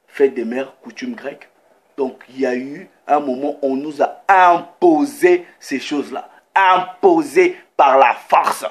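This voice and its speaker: Slow-reading French-speaking male preacher. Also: mid-range voice. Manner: reads scripture slowly, breaks in with "ok" and comments. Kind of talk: sermon with Bible reading.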